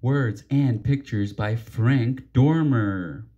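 Male storybook reader, mellow and very unhurried, theatrical children's delivery. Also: mid-range voice, dull audio. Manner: theatrical, deliberate. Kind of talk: expressive children's read-aloud.

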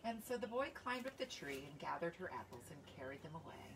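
A woman's voice: sits low, big pitch swings, brisk. A woman in her forties, a children's author reading a storybook and chatting casually on a livestream. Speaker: children's book author and reader. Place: covered outdoor patio by trees. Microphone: phone or camera a few feet away. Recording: distant mic, clear outdoor background noise.

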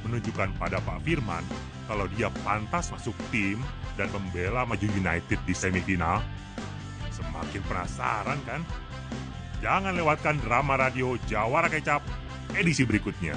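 A man's voice: lowish voice, moderate pace, music in the background. A man delivering scripted teaser narration as the narrator of a radio drama.

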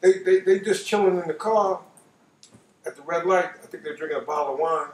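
A man in his late fifties with a New York accent, telling a personal story casually in an animated way, repeating phrases for emphasis. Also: high voice, brisk. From New York, United States. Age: late 50s. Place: living room, seated on a couch. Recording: roomy, voice distant from microphone.

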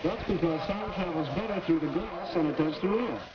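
Young American male actor as patient science explainer, highish pitch, vintage 1940s classroom-film delivery, noisy track. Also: fairly quick, muffled recording, close to an everyday voice. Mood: patient, instructive. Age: young adult. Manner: didactic, clearly explaining. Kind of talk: 1940s instructional film acting.

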